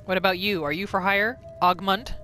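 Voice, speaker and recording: A woman hosting a gameplay roleplay, narrating casually in the third person. Her voice is of medium pitch, with faint music behind it. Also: fairly even intonation, a little fast, sharp tone. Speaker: gaming host and roleplayer.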